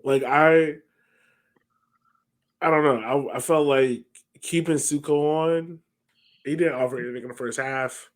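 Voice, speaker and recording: Man, mid-pitched, chatting sports. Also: steady tone, unhurried, pauses often. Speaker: podcast co-host. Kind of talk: casual sports discussion. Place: home room, on a video call.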